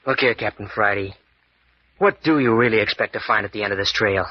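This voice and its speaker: A man's voice: fairly low pitch, fairly quick, lightly acted. An actor playing a defensive, grumbling young companion in an old-time radio serial, often evasive in his answers. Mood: suspicious. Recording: muffled vintage radio recording.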